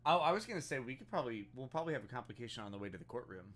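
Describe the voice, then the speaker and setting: A man playing a tabletop game, bantering in character casually and comically, often thinking aloud in halting phrases. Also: mid-range voice, sing-song, a bit bright.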